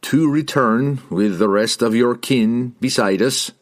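A man's voice: medium pitch, pitched above the performer's own voice, lightly acted. A man voicing a stern, stubborn young male barbarian warrior in an audiobook, speaking bluntly and evenly. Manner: measured, blunt, even. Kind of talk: audiobook character voice.

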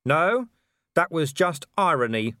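Man voicing a shouting, sardonic, defiant older hero. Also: fairly high pitch, wildly swooping pitch, normal speed, no room sound, clean studio sound, pitched above the performer's own voice. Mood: sarcastic defiance. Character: defiant, sarcastic, weary older hero shouting back at hunters. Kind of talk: audiobook character voice.

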